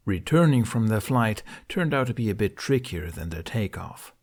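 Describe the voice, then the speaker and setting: A man reading fiction as an audiobook narrator, with expressive, dramatic storytelling. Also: fairly low pitch, normal speed.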